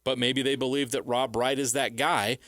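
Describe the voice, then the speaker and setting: A man's voice: medium pitch, little pitch movement, normal speed, fairly bright tone, no room sound, clean studio sound. An American man co-hosting sports talk, giving analysis emphatically in short, repeated phrases. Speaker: sports radio co-host.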